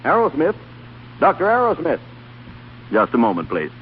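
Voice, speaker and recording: Excitable helper in old radio play, pitch jumps around, muffled recording w/ faint hiss. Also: male voice, normal speed. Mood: flustered. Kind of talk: old-time radio drama acting. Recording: dull, faintly noisy vintage radio recording.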